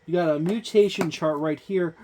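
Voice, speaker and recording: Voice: medium pitch, mellow, a little hiss or hum. Casual hobby reviewer, unscripted listing delivery, lots of fillers and self-corrections. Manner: halting, frequent "uh" fillers and restarts while listing entries; offhand, informal wording. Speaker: tabletop game book reviewer. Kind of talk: casual page-by-page book overview.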